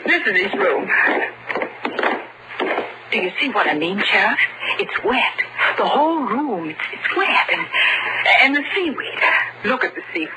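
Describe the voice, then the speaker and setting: A woman's voice: medium pitch, big pitch swings, measured pace, pauses often. Anxious American mother, old radio. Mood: uneasy, bewildered. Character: anxious small-town mother of a missing boy. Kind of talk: 1940s radio acting. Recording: noisy old radio drama recording.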